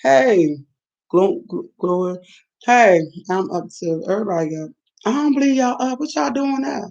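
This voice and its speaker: A woman's voice: on the high side, animated, moderate pace, gravelly, darkish tone. Woman, fifties, late-night livestream host, rambling talk to chat, dull lo-fi sound. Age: 50s. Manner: rambling, chatty, reacts to viewer comments.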